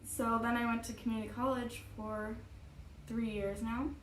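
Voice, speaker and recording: A woman's voice: a little high, flat delivery, normal speed, echoey room, recorded from a distance, slight background noise, rough recording. A young woman in her twenties, a student, chatting casually and upbeat, with frequent "like" and laughs. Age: 20s. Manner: upbeat, giggly, lots of "like". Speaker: student interviewee. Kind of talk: casual chat.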